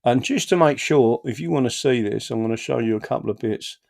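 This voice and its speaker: A man's voice: medium pitch, brisk, creaky, darkish tone. A man co-hosting political commentary, explaining carefully with hesitations. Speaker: co-host.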